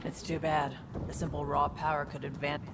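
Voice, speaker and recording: A woman's voice: low-pitched, flat delivery, moderate pace, natural voice. A woman voicing a pragmatic, street-smart alien squadmate in a video game, with a casual, dry manner. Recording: game ambience and vehicle noise behind.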